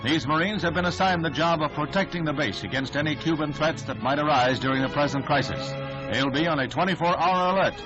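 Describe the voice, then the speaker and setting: American guy doing old 60s newsreel narration, declamatory, highish voice, muffled old film sound, music going. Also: fairly quick. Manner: formal, declamatory, dramatic. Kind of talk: 1960s newsreel narration, scripted. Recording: dull vintage 1960s newsreel soundtrack. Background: loud orchestral newsreel music.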